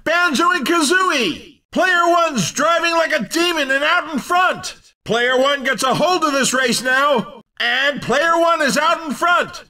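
High-pitched, excitable racing game commentator. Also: male voice, distant mic, heavily acted. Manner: hyped, theatrical, punning delivery. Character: exuberant, cheeky male arcade racing commentator. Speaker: race commentator.

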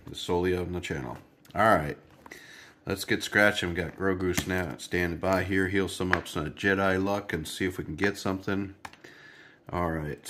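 A man's voice: sits low, fairly bright tone. A man hosting a scratch-ticket video at his kitchen table, chatting to viewers with a stuffy, congested nose. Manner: chatty, rambling, talks to viewers while scratching. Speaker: lottery scratch-off channel host. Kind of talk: casual scratch-ticket commentary.

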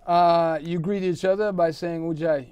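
A middle-aged man speaking as a studio guest, explaining things in short phrases with many pauses. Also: on the high side, fairly even intonation, darkish tone, clear, resonant tone, no room sound. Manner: halting, pausing between short phrases. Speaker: talk show guest. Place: small TV studio with curtains.

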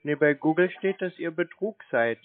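A man with a husky voice answering curtly as a customer in a phone conversation. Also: fairly high pitch, expressive, normal speed, no echo. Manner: curt, minimal answers. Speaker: called customer. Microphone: telephone. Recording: muffled narrow phone line.

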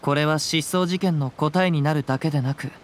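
An actor playing a calm, perceptive young wandering samurai in a Japanese video game, with measured, archaic samurai phrasing. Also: male voice, fairly high pitch, lightly acted. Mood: calm, deliberate.

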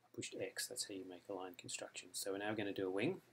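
A man with an Australian accent walks through a design program one step at a time, relaxed and unhurried, dropping the odd "um". His voice sits low with a gravelly edge, and the recording carries clear background noise along with mouse clicks and typing. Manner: relaxed step-by-step explaining with frequent ums. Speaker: software author demonstrating his add-in. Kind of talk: screen-recorded software tutorial. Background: mouse clicks and keyboard typing.

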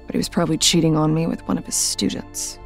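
A middle-aged woman playing a bitter, guarded widowed mother in an audio drama, curt and sardonic, with a gravelly voice. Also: low-pitched, completely flat delivery, fairly quick, faint music behind, natural voice.

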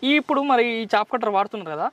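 A young man hosting an outdoor farm interview, prompting quickly and echoing his guest's answers back. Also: high voice, lively intonation, a bit bright, highs cut off. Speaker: host interviewing a farmer. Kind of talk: agricultural interview. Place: outdoors on a farm beside a tractor.

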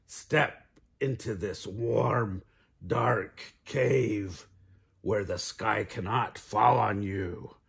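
A man voicing a sly fox in a children's storybook, coaxing and deliberate, with a rumbling delivery. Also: gravelly, a bit bright, slightly rough, full character voice.

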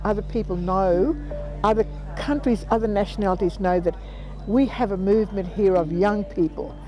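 A woman's voice: medium pitch, normal speed, over music, low-quality recording. An elderly Australian woman in her seventies telling her views in a reflective, plain-spoken interview, repeating phrases for emphasis. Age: 70s. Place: outdoors on a planted patio. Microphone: lapel mic.

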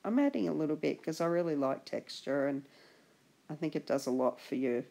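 A woman art instructor narrates a drawing lesson in a relaxed, chatty voice-over. Her voice is husky and gravelly from a chest infection. She keeps an encouraging tone and often trails off before restarting a thought. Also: low-pitched, fairly quick.

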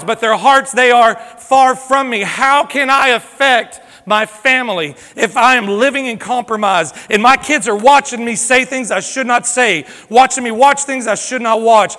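A pastor preaching a fervent sermon, swinging from loud exhortation to hushed, confessional pleading. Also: male voice, very high voice. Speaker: lead pastor. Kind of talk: impassioned sermon. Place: church sanctuary. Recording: long hall reverb from a church sanctuary.

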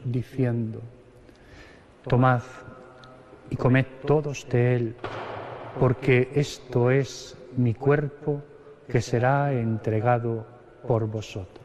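A middle-aged man from Spain, a priest slowly and solemnly reciting the prayers of the Mass at the altar. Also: medium pitch, fairly even intonation, darkish tone. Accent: Spanish (Spain). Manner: slow solemn liturgical recitation, parts chanted. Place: large stone cathedral. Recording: long cathedral reverb.

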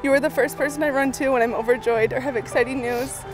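A young woman with a high voice speaks her wedding vows tenderly and from the heart. Music sits clearly behind her words, as in a wedding film. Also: steady tone, fast. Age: young adult. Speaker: bride. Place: outdoor wedding ceremony. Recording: music under the voice.

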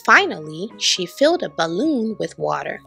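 A woman giving an expressive children's storybook read-aloud, putting on voices for the characters. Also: fairly low pitch, moderate pace, creaky, over music. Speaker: storybook reader.

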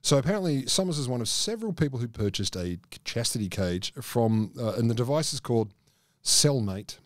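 A man's voice: mid-range voice, big pitch swings, a little fast, no room sound. A man co-hosting a podcast in a small studio, recounting news stories with crude wisecracks. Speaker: podcast co-host. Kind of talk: casual chat, telling news stories. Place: small studio room around a table. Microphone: desk mic on stand, headphones.

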